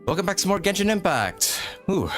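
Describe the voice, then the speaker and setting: A man's voice: high-pitched, big pitch swings, a little fast, fairly bright tone, soft background music. A man hosting a game livestream in casual, chatty, rambling talk, getting over a lingering cough. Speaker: game streamer host.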